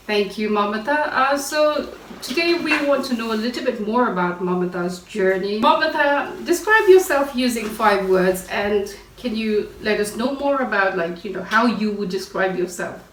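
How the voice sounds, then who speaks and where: A woman's voice: mid-range voice, expressive, normal speed, few pauses, a bit bright, a little hiss or hum. Woman host asking stuff, lots of ums, kinda far off and echoey. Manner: friendly, filler-heavy questioning. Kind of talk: casual interview. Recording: echoey room, mic at a distance.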